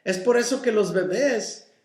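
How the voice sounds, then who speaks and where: Pastor guy preaching real slow, english speaker at heart, echoey church sound, keeps asking ok?. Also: high voice. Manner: slow, deliberate teaching with frequent "ok?" checks; mixes in English words. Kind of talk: Bible study sermon.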